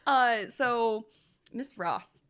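A woman co-hosting a casual film-review chat, laid-back and quick with jokes, laughing often. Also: fairly high pitch, expressive, a bit bright, clean tone. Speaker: podcast co-host.

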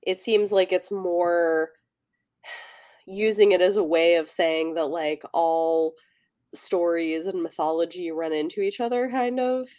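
A woman with a slightly low voice talks as a podcast co-host in a relaxed book discussion. She sounds conversational and a little tentative, wandering through her thoughts as she goes. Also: slow.

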